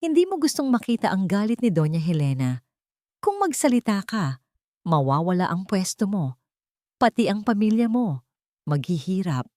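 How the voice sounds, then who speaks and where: A woman's voice: medium pitch, big pitch swings, moderate pace, long pauses, a little mellow, no echo, studio quality, natural voice. A woman voicing a hard, threatening male henchman in a narrated drama. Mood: menacing. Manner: hard, menacing.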